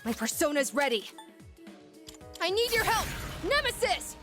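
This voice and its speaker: Resolute girl fighter, very high, slow dramatic delivery, battle cries, game music. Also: very animated, exaggerated voice. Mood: resolute, fierce. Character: resolute teenage girl fighter summoning powers.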